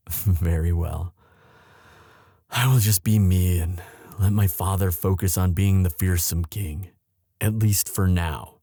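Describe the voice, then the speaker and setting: A man playing an anxious, protective young prince in love, speaking earnestly and haltingly in a romantic roleplay audio drama. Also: low voice, fairly even intonation, dark tone, no echo, clean studio sound, heavily acted. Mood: tender, resigned. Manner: earnest, halting, tender delivery.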